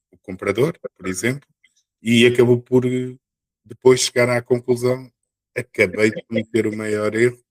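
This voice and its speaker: Male podcast speaker, European Portuguese accent. Slightly deep voice, very little room sound, measured and conversational, stresses points by repeating. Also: darkish tone, no echo, poor audio. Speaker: podcast speaker on real estate.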